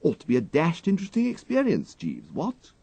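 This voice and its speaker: A man voicing a bumbling, kind-hearted young gentleman in a storybook, with a breezy, exclamatory upper-class English accent. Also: on the high side, huge pitch swings, a little fast, creaky, husky, dry recording, voice pushed up, full character voice. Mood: excited.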